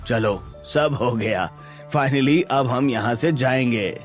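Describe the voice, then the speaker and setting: A man's voice: medium pitch, slow pace, faint music behind, lightly acted. A man voicing a polite, agreeable, practical family man in scripted cartoon story dialogue. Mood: polite, relieved.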